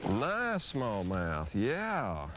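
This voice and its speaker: A middle-aged man teaches fishing tricks outdoors on a lake in a relaxed, folksy way. His pitch moves around a lot as he explains, and a faint outdoor hiss sits under his lapel mic. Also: drawn-out words. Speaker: fishing show host. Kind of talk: instructional fishing demonstration. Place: outdoors on a lake, on a boat and on the shore.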